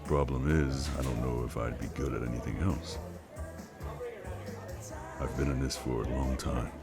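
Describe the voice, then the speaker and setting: A man playing a weary, cautious operative and new father in naturalistic drama, measured and understated. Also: rumbling low, fairly even intonation. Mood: weary, reflective. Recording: background music and crowd under dialogue.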